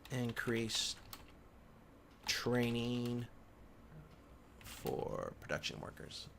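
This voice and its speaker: Guy with a bit of gravel doing a casual screen tutorial, kinda mumbling to himself, faint noise and typing. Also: mid-range voice, sing-song, drawn-out words, fairly bright tone. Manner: thinks aloud, murmuring to himself. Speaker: instructor giving a software tutorial. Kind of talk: casual unscripted screen-recorded walkthrough. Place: indoors at a computer. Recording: background noise, keyboard typing and clicks. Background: keyboard typing and mouse clicking.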